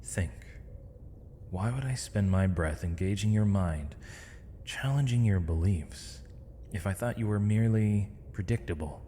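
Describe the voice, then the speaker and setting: Man acting a deep-voiced, eloquent captive villain mage. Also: dark tone. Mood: probing, intimate. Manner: eloquent, theatrical, measured formal diction. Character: misunderstood eloquent villain mage, proud, weary male captive. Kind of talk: ASMR roleplay acting. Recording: reverberant hall, faint noise, dull lo-fi sound.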